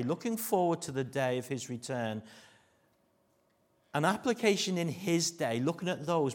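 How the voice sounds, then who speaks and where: A middle-aged man with a Liverpool accent preaching a sermon, mixing jokey asides with earnest warnings that sink to a hush. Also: fairly high pitch. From Liverpool, England.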